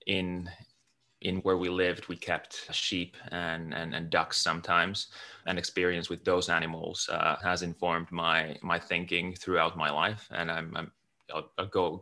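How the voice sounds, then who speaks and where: A man's voice: low-pitched, sharp tone. A middle-aged man from Finland, talking about his life as an interview guest, often restarting and repeating words. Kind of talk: casual interview talk.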